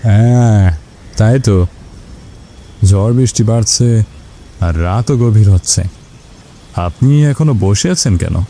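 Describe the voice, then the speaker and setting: A man's voice: on the low side, slow, pauses often, mellow, slight background noise. A man playing a concerned, insistent ferry passenger in a ghost story cartoon, matter-of-fact in manner.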